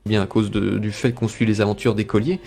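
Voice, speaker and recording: A man's voice: on the low side, little pitch movement, fairly quick, vocal fry, a little mellow. A young man giving a scripted, enthusiastic review as a video essayist. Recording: music playing under the voice. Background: background music.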